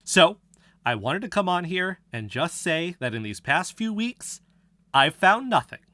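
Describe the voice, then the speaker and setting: A man's voice: on the high side, pushed and bright, no room sound, full character voice. A man playing an earnest, self-important young amateur investigator, confiding emphatically in a scripted fiction podcast. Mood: defensive, earnest.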